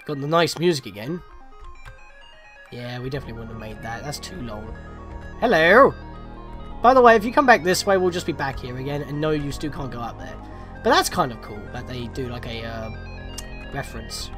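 Animated woman doing casual gameplay commentary. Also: a bass voice, normal speed, long pauses. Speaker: gameplay video host. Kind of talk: casual let's play commentary. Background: game music.

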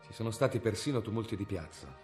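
An actor playing a stern, cold medieval inquisitor in a radio drama, speaking in a severe, commanding, formal way. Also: male voice, on the low side, expressive, quick pace, a little mellow, soft background music, lightly acted.

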